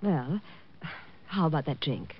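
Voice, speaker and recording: A woman's voice: low-pitched, very animated, measured pace, a little hiss or hum. A woman playing a poised, coy stage performer turned client in an old-time radio drama. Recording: muffled old radio recording.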